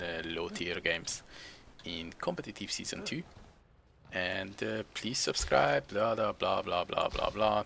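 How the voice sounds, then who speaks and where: A young Hungarian-accented man rambles through his gameplay commentary in imperfect English, often chuckling at himself. His voice sits low with a gravelly fry, yet has a bright, sharp edge. A faint hum of noise runs under him. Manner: rambling, chuckling, self-deprecating. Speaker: game streamer.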